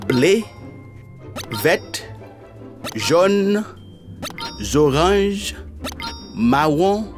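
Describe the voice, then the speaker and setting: Upbeat, encouraging male language teacher. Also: on the high side. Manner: upbeat, encouraging, carefully spelled-out phrases. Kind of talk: beginner language lesson. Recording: dry, faint music behind.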